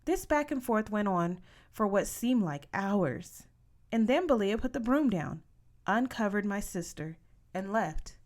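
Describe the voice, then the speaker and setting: A woman reading a children's novel aloud as an expressive audiobook narrator. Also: medium pitch, unhurried. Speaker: audiobook reader.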